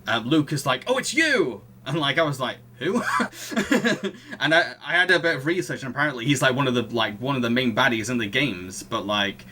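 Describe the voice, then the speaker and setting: A man with a very bright, forward voice at middle pitch, co-hosting a casual film podcast. He talks in a halting, amused way, with faint noise behind him. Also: animated. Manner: chuckles through sentences, halting. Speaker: podcast co-host.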